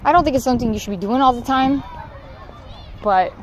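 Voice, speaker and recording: A woman's voice: high-pitched, fairly quick, slight background noise. A woman with a Southern Californian accent, chatting casually and candidly to livestream viewers, swearing now and then. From Southern California, United States. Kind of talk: casual unscripted livestream chat. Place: outdoors while walking.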